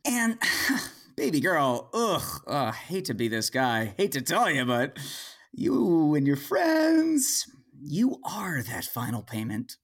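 A woman's voice: sits low, very animated, takes it slowly, full character voice. A woman playing a possessed, sneering, falsely sweet father who sold out to demons, in a horror-comedy audio drama. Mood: gleefully smug. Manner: sneering, saccharine, theatrical.

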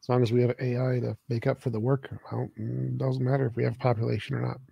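Blunt dismissive guy, gravelly husky voice, flat delivery. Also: mid-range voice, fast. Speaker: debater.

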